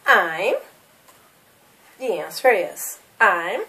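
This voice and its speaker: A woman's voice: fairly low pitch, animated, drawn-out words, sharp tone, recorded from a distance. A woman in her thirties from Brazil with a Brazilian Portuguese accent, teaching English as a second language and drilling simple questions for learners to repeat. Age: 30s. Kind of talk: slow classroom drilling of questions.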